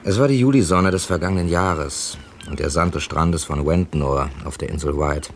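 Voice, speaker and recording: A man's voice: a bass voice, moderate pace. An actor playing a worldly, wry crime writer in his thirties in a 1970s German radio drama. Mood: wry, composed. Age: 30s. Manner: dry, wry, urbane. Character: Edgar Dickens, worldly thirty-six-year-old male crime writer from London. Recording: noisy old radio archive recording.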